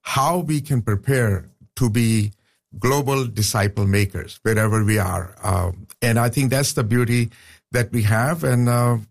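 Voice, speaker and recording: Radio guest: man, earnest academic, halting with fillers, studio mic. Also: medium pitch, fairly even intonation, vocal fry. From Pakistan. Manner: earnest, with frequent restarts and "uh" fillers. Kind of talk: casual radio interview.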